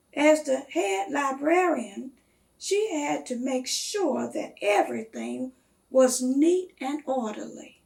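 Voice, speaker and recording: A woman reading a children's story aloud in a measured, expressive storytelling manner. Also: sits high, vocal fry.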